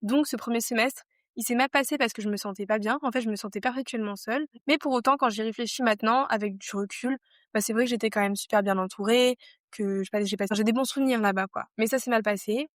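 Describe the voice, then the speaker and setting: A woman's voice: a little high, fast, no room sound, studio quality. A woman in her twenties from France, a podcast guest talking things over casually and reflectively, with many fillers and restarts. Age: 20s.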